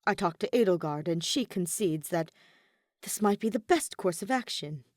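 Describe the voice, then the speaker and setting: A woman acts out a young nobleman from an audiobook tale, earnest and nervous. His speech is formal and wordy, with lively swings of pitch, recorded dry and close. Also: moderate pace, no room sound. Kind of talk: audiobook-style fan fiction character reading.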